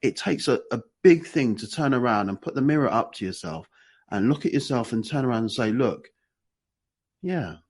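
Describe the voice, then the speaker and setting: Husky, rambling man with a lively voice. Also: mid-range voice, moderate pace. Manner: rambling, repeats phrases, emphatic. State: croaky morning voice. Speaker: podcast co-host. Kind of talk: casual conversation.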